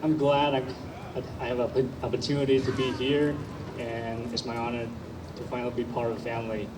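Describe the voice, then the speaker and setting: A man's voice: mid-range voice, monotone, brisk. A young man from Taiwan speaking unprepared, hesitant remarks as a new citizen. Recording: distant mic, room noise.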